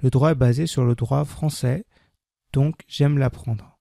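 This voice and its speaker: A man with a dark, gravelly voice reads a beginner French text at a measured pace. He repeats each sentence with careful diction. The recording is dry and close, with no room sound. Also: medium pitch, fairly even intonation, no room sound. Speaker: French language teacher. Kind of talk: slow scripted reading for learners.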